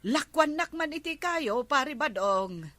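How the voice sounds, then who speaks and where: A woman's voice: high voice, expressive, a little slow, fairly bright tone, a little hiss or hum. A woman playing a chummy, haggling village customer in a comedy radio drama, bantering with an Ilocano accent.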